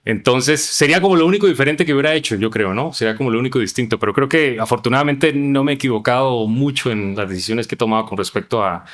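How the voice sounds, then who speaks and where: Fast-talking Colombian man in conversation. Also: mid-range voice. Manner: explanatory, frequent "¿no?" tags. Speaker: podcast guest, international businessman. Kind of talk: conversational interview answers.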